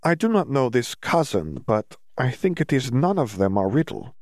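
A man's voice: mid-range voice, sing-song, a little mellow, no room sound, studio quality, voice pushed up. A man voicing a confident foreign teenage schoolboy with stiff, broken phrasing in an audiobook story. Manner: confident, halting foreign grammar.

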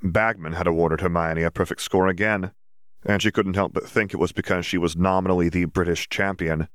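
A man's voice: sits low, fairly even intonation, fairly quick, clean tone, dry recording, studio quality. A man reading a fantasy story aloud as scripted audiobook narration.

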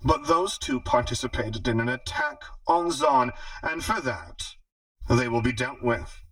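A man's voice: mid-range voice, very animated, unhurried, creaky, a bit bright, no room sound, distant mic, exaggerated voice, low-quality recording. A man voicing a smug, menacing female crime boss in an audiobook, with a condescending, mocking drawl. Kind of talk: audiobook character dialogue.

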